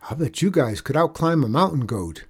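A man plays a plucky little boy of the Old West in a storybook reading. The pitch is lifted and a little husky, and the talk is bold, folksy and full of cocky challenge. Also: lively intonation, moderate pace. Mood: sly, friendly.

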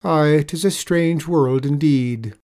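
A performer voicing a soft-hearted, gullible Irish tradesman in a storybook tale, exclaiming tearfully. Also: mid-range voice, takes it slowly, voice pushed up. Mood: sorrowful.

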